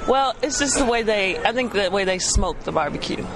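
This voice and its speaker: A young woman from Texas with a Texan accent, a college basketball player answering radio interview questions in a relaxed way, with short replies and frequent hesitant ums. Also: mid-range voice, a little fast, background noise. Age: young adult.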